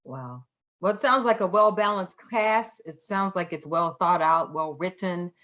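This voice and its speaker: A woman's voice: fairly low pitch, measured pace, fairly bright tone. A woman with an American accent hosting a live show and interviewing guests in a casual way.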